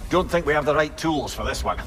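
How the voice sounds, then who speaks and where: A man with a Scottish accent playing a wry, learned old talking head in a video game, telling tales in a measured way. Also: a little high, animated, close to an everyday voice. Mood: wry, friendly. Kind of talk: video game character acting. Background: music.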